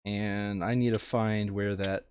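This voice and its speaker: A man's voice: on the low side, level tone, slow, highs cut off, slight background noise. A man playing a board game, casually narrating the steps and muttering asides to himself as he works through them. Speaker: tabletop game player and narrator.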